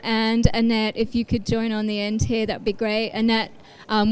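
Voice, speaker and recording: A woman's voice: fairly high pitch, background noise. A woman from Australia with a faintly British-tinged Australian accent, moderating a conference panel, polite and full of ums and restarts. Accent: Australian with a slight British tinge.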